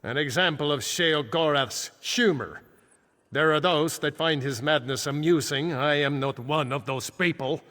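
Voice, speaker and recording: An ancient wizard for a fantasy game, grave n formal, slightly high voice, big long echo. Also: male voice, a little slow, sharp tone. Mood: grave, proud. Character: solemn ancient male archmage returned from death. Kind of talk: fantasy video game dialogue. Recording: echoing game hall with long reverb.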